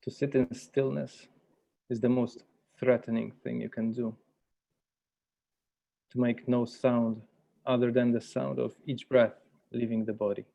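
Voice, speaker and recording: Male facilitator, measured and deliberate, creaky voice, slightly dull online-call sound. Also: mid-range voice, little pitch movement, mellow. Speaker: workshop facilitator. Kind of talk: guided meditation and workshop facilitation. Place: virtual workshop over video call.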